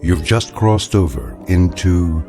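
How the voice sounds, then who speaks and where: An actor as the mysterious, grave narrator of an eerie radio anthology, measured and portentous. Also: male voice, sits low, over music. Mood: ominous. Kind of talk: dramatic scripted narration.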